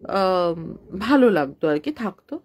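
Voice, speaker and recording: A woman's voice: lowish voice, sing-song, unhurried, a little mellow, slightly rough. Woman informally telling a ghost story. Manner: conversational, with English words mixed in. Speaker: horror story narrator.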